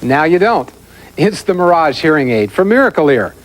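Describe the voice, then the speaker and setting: High-pitched, lively American ad announcer. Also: male voice, moderate pace, poor audio. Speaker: commercial announcer. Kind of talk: scripted ad narration.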